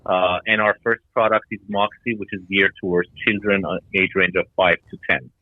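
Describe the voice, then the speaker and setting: Middle-aged male founder as interview guest, lower-pitched voice, narrow telephone-grade sound, explaining his work. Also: steady tone, a little fast. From Iran and Denmark. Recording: tinny phone-like line.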